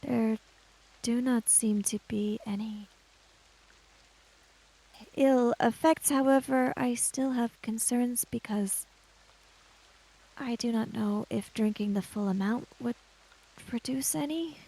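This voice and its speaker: Slow, mellow-voiced woman, slightly creaky. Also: fairly high pitch, poor audio. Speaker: player in a tabletop roleplaying podcast. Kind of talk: in-character roleplay and narrating own character's actions.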